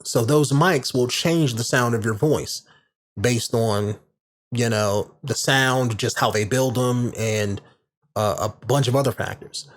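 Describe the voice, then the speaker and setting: A man hosting a podcast in a casual, rambling monologue with a warm, medium-range voice, full of fillers and restarts, recorded on a studio condenser microphone. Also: mid-range voice, slow. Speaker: podcast host. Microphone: large studio condenser microphone.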